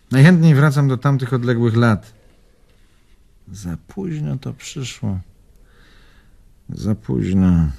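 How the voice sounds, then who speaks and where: A world-weary old man in a radio drama. His voice is low and badly husky, full of gravel. He broods haltingly and sounds worn out by life. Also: a little mellow, exaggerated voice. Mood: weary, resigned. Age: older.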